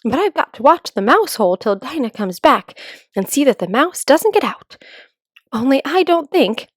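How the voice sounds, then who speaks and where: A dreamy, curious little girl from a storybook, played by a woman. Her voice is fairly high and a bit prim as she reasons things out aloud to herself. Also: sing-song, moderate pace, no echo, clean studio sound. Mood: musing. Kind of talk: children's storybook read-aloud.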